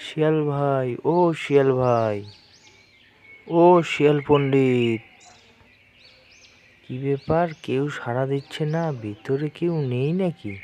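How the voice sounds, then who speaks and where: A man's voice: mid-range voice, slow pace, pauses often, a little hiss or hum, natural voice. A man voicing a simple, honest father crocodile who talks plainly and earnestly. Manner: plain, earnest, calling out loudly.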